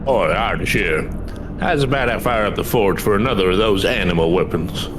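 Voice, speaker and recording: A man playing a gruff, hard-drinking smith in a fantasy game, blunt, coarse and flippant. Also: fairly low pitch, expressive, moderate pace, background noise, natural voice. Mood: cheeky.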